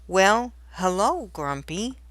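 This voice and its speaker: A polite young storybook prince, earnest and in love, read by a woman. The words come very slowly and with courtly care, in a dry, close sound. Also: medium pitch, sing-song, fairly bright tone, no room sound. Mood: courteous.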